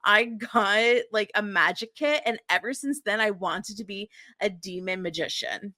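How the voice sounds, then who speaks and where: A woman with a bright, sharp voice of medium pitch co-hosts a podcast, recorded close and dry. She chats casually with exaggerated comic emphasis. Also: measured pace, no room sound. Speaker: podcast host.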